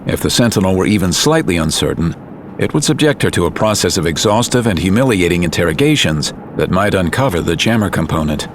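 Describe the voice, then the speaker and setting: A man narrating a scripted audio drama in a serious, measured storytelling manner. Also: low voice, expressive, background noise.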